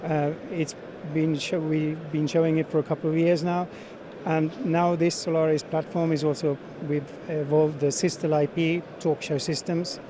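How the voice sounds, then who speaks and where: A middle-aged man giving unscripted interview answers as a sales manager, speaking in halting phrases with frequent repeats. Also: on the high side, little pitch movement. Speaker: sales manager being interviewed at a trade show. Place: busy exhibition hall. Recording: busy trade show crowd noise.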